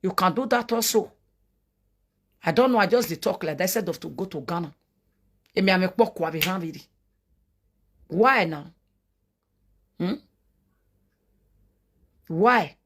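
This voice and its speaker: A woman's voice: lowish voice, lively intonation, measured pace, pauses often. A woman from Edo State in Nigeria with a Nigerian Pidgin accent, giving earnest, repetitive commentary and pleading with her viewers. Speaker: online commentator.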